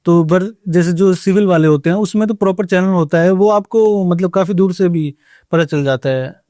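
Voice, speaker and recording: A man's voice: sits high, moderate pace, warm tone, no echo, studio quality. A man answering interview questions, explaining things informally and a little hesitantly, with English words mixed in. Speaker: air traffic controller being interviewed.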